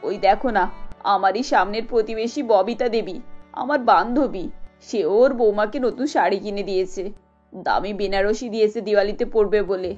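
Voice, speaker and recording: A woman's voice: mid-range voice, normal speed, darkish tone, close to an everyday voice. A woman voicing a worried, affectionate middle-aged mother-in-law in a cartoon story, on a dull recording. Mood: worried, rueful. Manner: fretful, affectionate. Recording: dull lo-fi sound, music behind.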